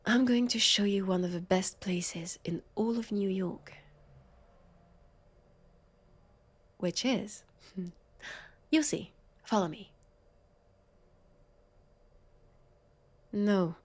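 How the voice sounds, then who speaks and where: A woman with a French accent playing a sardonic, weary, centuries-old vampire police officer in an intimate audio roleplay, breathy and sighing. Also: mid-range voice, slow pace. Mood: teasing. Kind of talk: intimate scripted audio roleplay addressed to listener.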